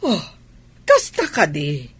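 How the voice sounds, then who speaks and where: A woman's voice: low voice, huge pitch swings, takes it slowly, a bit bright, breathy edge, full character voice. A woman playing a kindly, caring, motherly figure in an audio drama, speaking encouragingly with an Ilocano accent. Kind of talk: dramatized radio-style acting.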